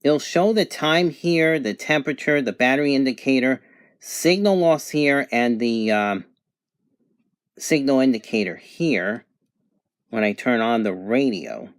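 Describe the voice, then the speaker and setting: A man's voice: medium pitch, measured pace, clear, resonant tone, dry recording. Matter-of-fact man reviewing a gadget, dry sound. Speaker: product reviewer. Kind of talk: unscripted product demonstration.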